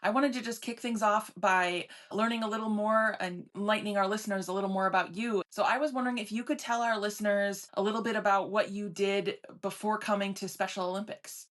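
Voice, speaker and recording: A woman's voice: mid-range voice, steady tone, a little fast, hardly any pauses, fairly bright tone. A woman interviewing a podcast guest in a casual, polite style.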